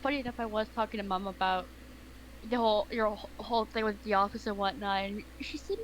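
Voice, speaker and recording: A woman's voice: medium pitch, steady tone, a little fast, a little hiss or hum, rough recording. Chatty woman on a voice call. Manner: rambling, with fillers and laughs. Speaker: partner calling in on voice chat. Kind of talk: casual chat.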